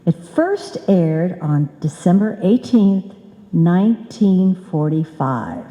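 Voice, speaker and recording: A woman's voice: fairly low pitch, very animated, takes it slowly, warm tone, dull audio. A woman host giving a scripted introduction at a live show. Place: stage in a hall, live performance. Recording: echoey hall reverb.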